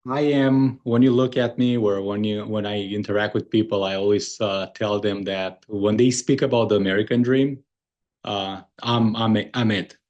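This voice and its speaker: A middle-aged man from Romania with a Romanian accent, speaking earnestly as an interview guest, often pausing on "uh" and restarting his sentences. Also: fairly low pitch, fairly even intonation, darkish tone, highs cut off. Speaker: guest, moving company founder. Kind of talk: conversational interview.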